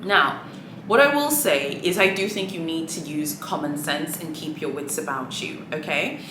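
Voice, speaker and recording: A woman's voice: low-pitched, expressive, normal speed. A woman whose first language is Swahili, chatting casually to camera with many fillers and sassy asides. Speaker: luxury shopping vlogger. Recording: echoey room with clear background noise.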